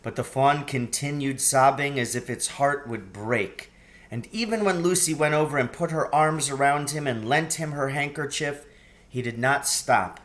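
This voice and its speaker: A man reading a children's story aloud in an expressive narrating style. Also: medium pitch, moderate pace. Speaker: audiobook reader.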